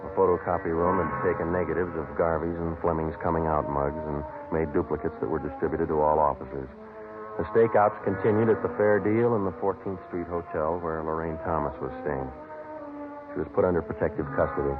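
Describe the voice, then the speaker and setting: Terse 1950s radio detective, extremely deep, matter-of-fact, vintage lo-fi sound with music behind. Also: male voice, steady tone, brisk. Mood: deadpan. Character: terse male police detective sergeant narrating his case. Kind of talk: 1950 radio police drama, clipped scripted narration. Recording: muffled old 1950 radio recording.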